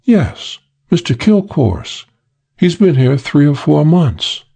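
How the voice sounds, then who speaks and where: Casting: male reader as young female switchboard operator, hardboiled audiobook, raised pitch, sassy, slangy, put-upon and sighing. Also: big pitch swings, slow, vocal fry, mellow, husky, full character voice. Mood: obliging. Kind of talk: audiobook character voice for hardboiled detective story.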